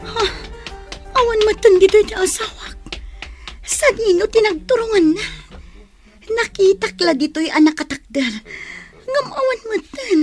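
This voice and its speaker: A woman's voice: very high voice, animated, measured pace, pauses often, a little mellow, soft background music. An actress playing a worried churchgoing wife and mother in an Ilocano radio drama, speaking fretfully. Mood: anxious searching.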